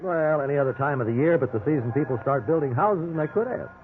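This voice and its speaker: An actor playing an easygoing middle-aged American husband who banters folksily in a 1950s radio drama. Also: male voice, a little high, animated, fast talker, narrow, tinny audio, faint music behind, close to an everyday voice. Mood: affectionate, teasing. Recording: band-limited 1950s radio recording with hiss.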